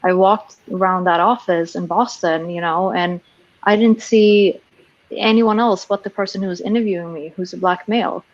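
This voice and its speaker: A woman's voice: on the low side, steady tone, normal speed, muffled recording. A woman from Sweden, a sales professional speaking frankly on a panel, often restarting her sentences. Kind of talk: casual panel discussion.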